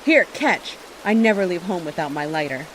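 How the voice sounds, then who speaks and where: A woman's voice: fairly low pitch, animated, moderate pace, a bit bright, a little hiss or hum, rough recording. A woman playing a wry, brash young survivor in a horror audio drama. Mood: brisk.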